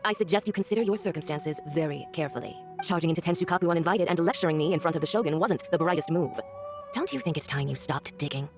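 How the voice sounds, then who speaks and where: A woman's voice: sits low, quick pace, music in the background. A woman voicing a haughty, mocking villainous diplomat in a video game, condescending and theatrical. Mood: smug menace.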